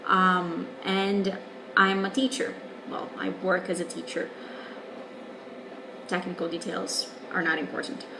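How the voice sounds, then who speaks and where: A woman's voice: lowish voice, flat delivery, unhurried, creaky, background noise. A woman in her twenties from Brazil, a native Portuguese speaker with a Brazilian accent, working as an English teacher and frankly explaining things in a casual video reply. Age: 20s. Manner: candid and wry, explaining patiently.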